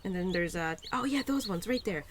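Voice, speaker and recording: A woman voicing a cheerful, playful young spirit of Spring in an audio roleplay, gentle and affectionate with a giggly, easily flustered manner. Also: mid-range voice, lively intonation, brisk, a little hiss or hum, lightly acted. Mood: chatty, fond. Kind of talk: audio roleplay addressed to the listener.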